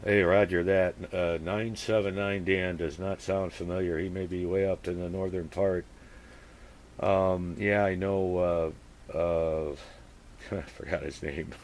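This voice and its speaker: A man chatting casually as a radio operator, using procedure words and speaking haltingly as he thinks aloud. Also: low-pitched, steady tone, a little mellow, slight background noise. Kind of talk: casual two-way radio chat with call-and-response procedure words.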